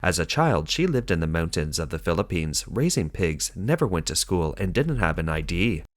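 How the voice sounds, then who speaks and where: Male narrator, low and animated, dry studio read for a news explainer. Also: normal speed, no room sound. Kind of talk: scripted documentary narration.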